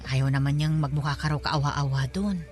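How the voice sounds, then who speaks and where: A woman's voice: low voice, steady tone, moderate pace, darkish tone, soft background music, close to an everyday voice. A woman playing a loving, insistent middle-aged mother with a Filipino accent, coaxing her child in a radio drama. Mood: fond, insistent.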